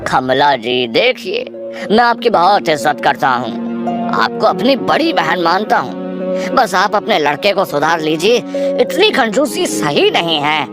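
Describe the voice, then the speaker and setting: A woman voicing a crafty, long-suffering village moneylender in a cartoon, measured and courteous as he admonishes. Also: fairly low pitch, big pitch swings, over music, voice pushed down. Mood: politely concerned. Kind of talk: Hindi cartoon acting.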